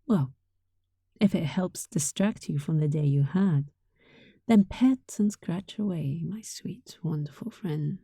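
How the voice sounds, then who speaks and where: A woman's voice: on the low side, takes it slowly, dry recording, heavily acted. A woman playing a sweet, affectionate young catgirl roommate in an intimate roleplay, speaking tenderly and breathily, often close to a whisper. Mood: cuddly, affectionate. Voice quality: soft, breathy. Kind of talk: intimate roleplay audio, softly acted monologue.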